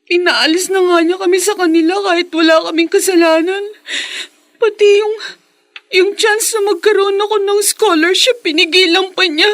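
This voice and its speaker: A woman's voice: extremely high pitch, fairly even intonation, moderate pace, a bit bright. A woman with a Filipino accent voicing a poor, wronged young schoolgirl in an emotional, tearful radio drama style. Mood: hurt.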